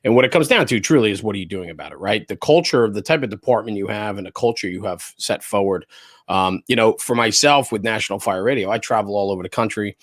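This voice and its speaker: A man's voice: on the low side, fast talker, dry recording. Emphatic American man, close dry sound. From New Jersey, United States. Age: middle-aged. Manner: emphatic, repeats points, checks with "right?". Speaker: interview guest, fire service spokesperson and former chief. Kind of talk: casual but opinionated interview talk.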